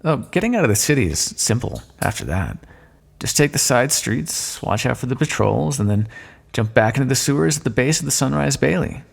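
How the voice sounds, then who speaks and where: A man playing a smug, sardonic thief in an audio roleplay, speaking with mocking mock-courtly politeness and drawn-out emphasis in a long echo. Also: medium pitch, big pitch swings, creaky, breathy edge, heavily acted. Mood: boastful, sly. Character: smug, sardonic male thief, gloating at a fallen monarch. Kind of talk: scripted audio roleplay, theatrical monologue. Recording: long echoing reverb.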